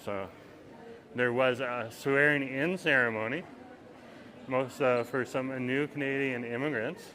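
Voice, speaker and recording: A man's voice: mid-range voice, fairly even intonation, unhurried. A man giving a casual, rambling walking-tour commentary on a livestream, outdoors at a busy waterfront festival. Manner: rambling, chatty, reads viewer comments aloud. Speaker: livestream vlogger. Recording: loud crowd noise outdoors. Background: festival crowd chatter.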